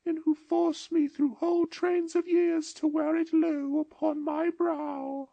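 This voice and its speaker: A woman voicing a gentle, otherworldly ghost of the past in an audiobook dramatization, speaking slowly and solemnly. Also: very high voice, level tone, vocal fry, no room sound, highs cut off, heavily acted. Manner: slow, solemn and mild.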